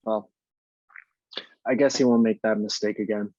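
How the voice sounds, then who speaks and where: A man's voice: on the low side, fairly even intonation, moderate pace, darkish tone, dry recording, lightly acted. A man playing an uneasy, hesitant dinner guest asking probing questions in a screenplay table read, on a dull microphone. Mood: uneasy, questioning.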